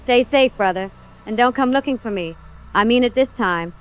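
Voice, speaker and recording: A woman's voice: a little high, normal speed, clear, resonant tone, lightly acted. A woman playing a determined fugitive investigator recording an urgent, resolute message in an audio drama. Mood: tender, urgent. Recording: dull lo-fi radio transmission, music behind.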